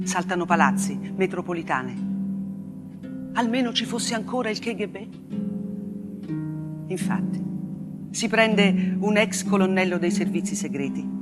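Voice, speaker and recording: Radio drama: actress as an unflinching female war correspondent bearing witness, stark and deliberate, dramatic pauses, guitar-like music behind. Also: lowish voice, natural voice. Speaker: stage actress. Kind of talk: dramatic theatrical monologue. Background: plucked guitar-like music.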